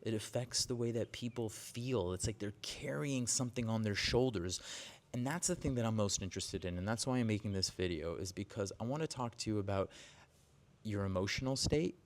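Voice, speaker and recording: A man's voice: on the low side, fairly quick. A man in his forties, a yoga teacher, talking candidly in a casual vlog, with frequent "like"s and restarts. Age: 40s. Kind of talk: casual vlog monologue to camera. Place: outdoors with birds nearby.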